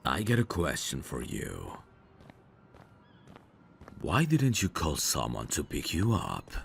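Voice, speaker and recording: Dangerous male mafia boss, played by a voice actor, ASMR audio drama: slow, lively intonation, self-assured teasing, protective streak. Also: rumbling low, vocal fry, slight background noise, exaggerated voice. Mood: teasing, probing.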